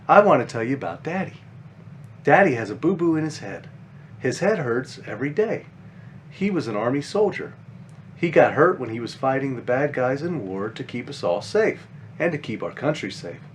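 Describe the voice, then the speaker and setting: Man reading as an earnest little bunny girl, lively pitch. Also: normal speed, a little hiss or hum, natural voice. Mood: earnest, caring. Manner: simple, earnest, explaining to a younger child. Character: five-year-old big sister bunny, earnest, caring, eager helper. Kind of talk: children's picture book read aloud.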